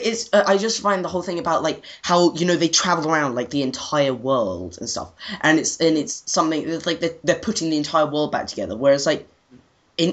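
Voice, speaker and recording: A young adult co-host chatting casually and off the cuff about things, hesitant and rambling, often repeating words. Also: medium pitch. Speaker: co-host of a gaming retrospective. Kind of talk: casual unscripted chat.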